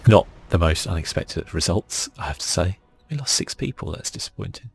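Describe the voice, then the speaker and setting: A man giving relaxed, casual ASMR game commentary in a soft, breathy, half-whispered voice, musing to himself as he plays. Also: low voice, a little fast. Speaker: gaming channel host.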